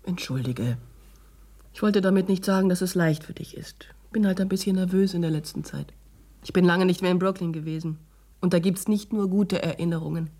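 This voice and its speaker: A woman's voice: on the low side, a little fast, warm tone. An actress playing a bitter, outspoken middle-aged woman in a radio drama, blunt and edgy. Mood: contrite, uneasy. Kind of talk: German radio drama acting.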